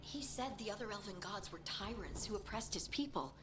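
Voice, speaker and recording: A woman plays an earnest, alert scout in a fantasy game. Her mid-pitched voice explains plainly over loud music. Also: lively intonation, brisk, close to an everyday voice. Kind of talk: dramatic video game acting.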